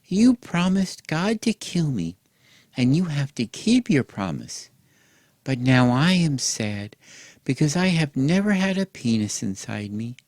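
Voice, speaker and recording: A man's voice: on the high side, big pitch swings, a little slow, a little mellow, dry recording, pitched above the performer's own voice, heavily acted. A man voicing an obedient, wistful young daughter from a storybook, speaking in a meek, innocent, childlike way. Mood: meek, sad.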